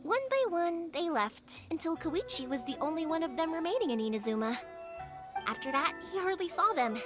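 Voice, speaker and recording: A very high, lively voice with sing-song swings, a bright, chatty young firework maker in a game. Game music plays behind her. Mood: storytelling. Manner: lively, chatty, quick to laugh. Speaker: game character. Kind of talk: video game voice acting.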